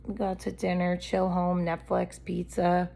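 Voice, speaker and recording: A woman's voice: fairly low pitch, completely flat delivery, normal speed, vocal fry, warm tone. A woman vlogger talking straight to camera in a candid, blunt, rambling monologue, her voice a little worn from allergies.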